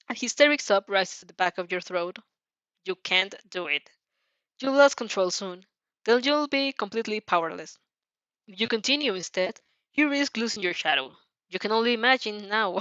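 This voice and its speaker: A woman narrating a fan fiction story dramatically, with an expressive, somewhat halting delivery. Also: mid-range voice, no echo, lo-fi sound.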